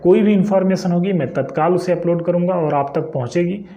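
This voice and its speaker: A man's voice: high voice, fairly quick, dark tone, highs cut off. A young man explains things informally to viewers, often adding short check-in tags as he goes. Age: young adult. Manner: conversational, frequently checking understanding with short tags. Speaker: YouTube exam-information presenter. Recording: echoey room sound.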